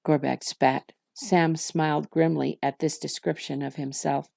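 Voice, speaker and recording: A woman's voice: low-pitched, little pitch movement, measured pace, gravelly, a little mellow, no room sound, clean studio sound. A woman reading a fantasy novel aloud as a scripted storybook narration. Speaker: volunteer story reader.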